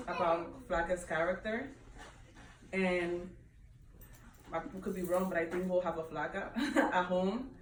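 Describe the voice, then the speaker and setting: A woman from the Dominican Republic with a Dominican Spanish accent, chatting at a book club, rambling with many fillers and frequent laughs. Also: mid-range voice. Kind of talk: casual book discussion. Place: bookshop event room. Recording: echoey room, distant mic, background noise.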